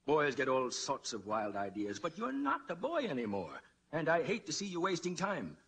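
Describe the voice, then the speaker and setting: A man's voice: fairly high pitch, huge pitch swings, normal speed, gravelly, a bit bright, full character voice. An actor playing a worried, middle-aged father with a hesitant, fatherly manner in a 1940s radio drama. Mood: gentle, resigned. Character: worried middle-aged father, cautious small-town politician, gently resigned. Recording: noisy vintage 1940s radio broadcast.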